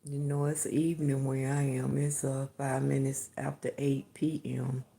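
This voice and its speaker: An older woman talks slowly and warmly to her livestream viewers, answering their comments from home in the evening. Her voice is heavily gravelly and mellow, with a distinctive sound of its own. She chuckles easily and keeps a relaxed, affectionate manner. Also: rumbling low. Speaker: livestream host greeting viewers. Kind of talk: casual chat answering comments.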